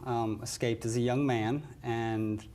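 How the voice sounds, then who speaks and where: A man's voice: mid-range voice, level tone, measured pace, a loud crowd. A man from West Virginia, a hospital nurse manager, giving polite and explanatory interview answers with a bit of dry humor.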